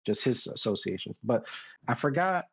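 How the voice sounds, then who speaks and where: A man's voice: medium pitch, big pitch swings, moderate pace, gravelly, husky. A man chatting casually as a podcast panelist, giving opinions in a rambling way and qualifying his points as he goes. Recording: dull lo-fi mic.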